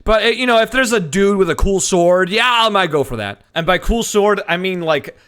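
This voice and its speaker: A man's voice: high-pitched, expressive, moderate pace, a bit bright, clear, resonant tone. A man commentating casually on a game livestream, joking with a sarcastic, theatrical manner. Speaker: streamer and voice actor.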